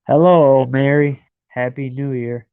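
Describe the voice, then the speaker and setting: A man's voice: medium pitch, fairly even intonation, unhurried, clear, resonant tone, no echo. A man in his thirties with a stuffed-up nose, chatting and rambling to viewers from his bed at home on a live stream. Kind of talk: casual live stream chat. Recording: dry, phone-like lo-fi sound.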